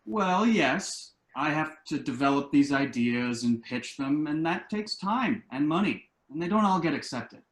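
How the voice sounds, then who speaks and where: A man playing an earnest, optimistic grown son in a play reading, explaining himself like someone making a pitch. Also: expressive, measured pace, few pauses. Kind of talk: virtual table read of a stage comedy-drama. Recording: roomy, echoey home recording.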